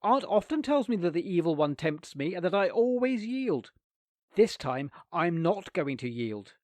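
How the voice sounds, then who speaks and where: A man's voice: sits high, sing-song, a little slow, no room sound, pitched above the performer's own voice. A man voicing a clever, cheeky young boy who speaks with gleeful innocence. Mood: sly.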